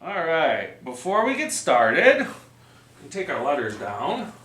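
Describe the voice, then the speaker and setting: Animated, bright-voiced man hosting children's storytime. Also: fairly high pitch, takes it slowly. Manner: encouraging, playful, simple repeated instructions. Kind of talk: sing-along storytime for young children. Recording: roomy, echoing sound.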